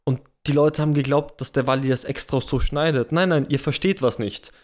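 A man's voice: medium pitch, steady tone, a little fast, darkish tone. A young man with an Austrian German accent co-hosting a podcast, chatting casually, self-deprecating and rambling with restarts. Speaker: podcast co-host. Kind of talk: casual chat.

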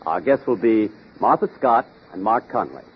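A suave mystery-writer detective hosting a vintage radio show. His medium-pitched voice is husky and very lively. The recording sounds dull and aged. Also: normal speed. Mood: genial, dramatic. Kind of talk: 1940s radio show host patter.

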